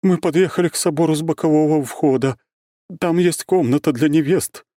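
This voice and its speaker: Man voicing an excitable, high-pitched distraught middle-aged mother. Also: brisk, no room sound, studio quality, natural voice. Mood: tearful, breathless. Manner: breathless, emotional, exclamatory. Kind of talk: audiobook character voice.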